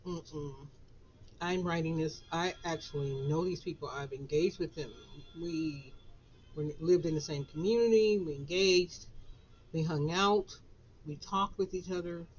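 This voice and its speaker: Southern lady in her 60s, raspy from being sick, low voice, talks real slow and chatty, kinda dull muffled sound. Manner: chatty, drawn-out, laughs between phrases. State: raspy voice after a flu. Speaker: author and vlogger. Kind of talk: casual chat to camera. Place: home office in a basement.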